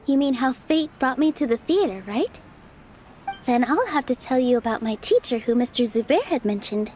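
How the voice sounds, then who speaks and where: A woman playing a gentle, sincere young dancer in a video game, modest and reflective. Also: high voice, animated, moderate pace, a little hiss or hum, poor audio. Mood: reflective, grateful. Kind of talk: scripted video game dialogue. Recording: light background music under game dialogue.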